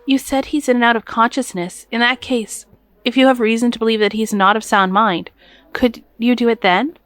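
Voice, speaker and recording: Woman playing highish-voiced, worried, resolute partner. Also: steady tone, normal speed, no room sound, lightly acted. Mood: anxious resolve. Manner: earnest, insistent. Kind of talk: audio drama acting.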